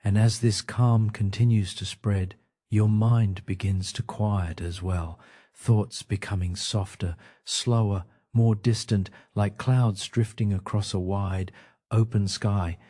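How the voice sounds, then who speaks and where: Very deep, slow-spoken man guiding sleep meditation. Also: steady tone. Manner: slow, gentle, soothing delivery with pauses. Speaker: meditation guide. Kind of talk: guided sleep meditation narration.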